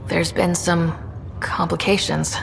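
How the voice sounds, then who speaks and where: A woman playing a caring young space explorer and sister in a video game, speaking gently and earnestly. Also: flat delivery, slow pace, vocal fry, exaggerated voice. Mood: gentle, sorrowful. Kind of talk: dramatic video game acting. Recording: hall reverb, faint rumbling noise.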